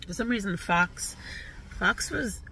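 A woman's voice: medium pitch, lively intonation, slow pace, distant mic, slight background noise. Stuffy-nosed woman chatting in a car. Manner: chatty, rambling, soft-spoken with fillers. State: stuffy nose. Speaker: vlogger. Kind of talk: casual chat to camera. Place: parked car. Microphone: phone camera on dashboard.

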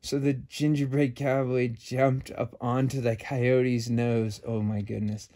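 A man's voice: medium pitch, flat delivery, slow pace, mellow. A man hosting children's story time, reading a picture book expressively with casual asides.

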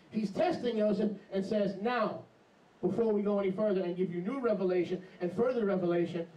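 Middle-aged man, preacher, emphatic sermon, higher voice, roomy echo, heard from afar. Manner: animated, emphatic, jumps into mocking voices. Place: small congregation hall with a lectern. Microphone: handheld mic. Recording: echoey hall, camera far off.

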